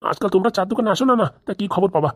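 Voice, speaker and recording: Blunt, chatty comedy-cartoon village uncle, acted by a woman: rapid, slightly low, dry recording. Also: lively intonation, dry recording, lightly acted. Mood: gossipy. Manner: chatty, needling, colloquial.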